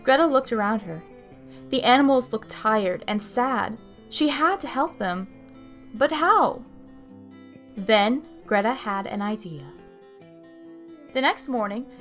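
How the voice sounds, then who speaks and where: High-voiced woman reading to young children. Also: measured pace, soft background music. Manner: expressive, explaining things simply with asides to children. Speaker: children's story time host. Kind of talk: read-aloud storytelling for young children.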